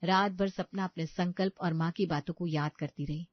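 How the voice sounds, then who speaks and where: A woman's voice: fairly low pitch, steady tone, normal speed, no room sound, muffled recording. Woman narrating a story, dry sound. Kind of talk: scripted bedtime story narration in Hindi.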